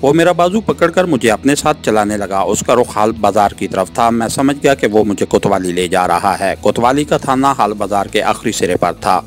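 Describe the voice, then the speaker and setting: Low-voiced man narrating over music. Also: expressive, moderate pace, hardly any pauses. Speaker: audiobook narrator. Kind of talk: scripted first-person novel narration, suspense. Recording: music under the voice. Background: background music.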